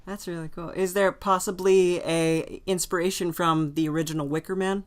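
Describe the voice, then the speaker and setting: A woman's voice: lowish voice, steady tone, moderate pace. A woman interviewer asking casual, conversational questions.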